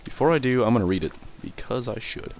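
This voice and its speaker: A man's voice: medium pitch, animated, fast talker, a little hiss or hum. A man doing casual let's play commentary, rambling, swearing casually and stumbling over hard words as he reads. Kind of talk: casual gameplay commentary and reading in-game text aloud.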